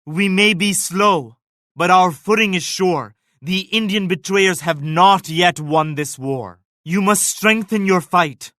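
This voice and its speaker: A proud, devout Indian emperor from a strategy game. His voice sits a little high for a man and is recorded close and dry. He speaks formally and solemnly, in measured declarations, like a pious ruler addressing a wartime ally. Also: pushed and bright, no echo. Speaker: video game leader character. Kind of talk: scripted strategy game voice lines.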